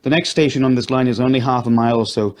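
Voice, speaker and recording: A man voicing a gentleman who firmly and urgently urges others on to safety. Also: medium pitch, fairly even intonation, quick pace, close to an everyday voice. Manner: firm, urgent, reassuring. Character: calm urgent male gentleman guiding others.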